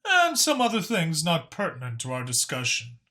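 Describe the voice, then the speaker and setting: Man voicing a bright, higher-pitched dignified dragon. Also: wildly swooping pitch, moderate pace, exaggerated voice. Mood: guarded, firm. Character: Mach, dignified male dragon authority, measured and guarded. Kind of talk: audiobook character acting.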